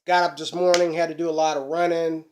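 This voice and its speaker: A middle-aged man, a bit hoarse from a cold, chatting folksily to his webcam at home about ukuleles. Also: on the high side, little pitch movement, fast, a little mellow. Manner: folksy, chatty, preacher-like emphasis. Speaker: ukulele channel host. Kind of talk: casual instructional vlog. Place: small room at home, indoors.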